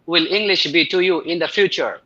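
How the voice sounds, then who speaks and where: A man hosting live speaking-exam practice as tutor, asking questions in a halting way with many fillers. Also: on the high side, pushed and bright, lo-fi sound.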